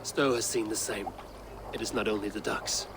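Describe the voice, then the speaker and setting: An actor playing a stern, terse Viking warrior who speaks in a measured, grave way. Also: male voice, fairly low pitch, steady tone, background noise, lightly acted.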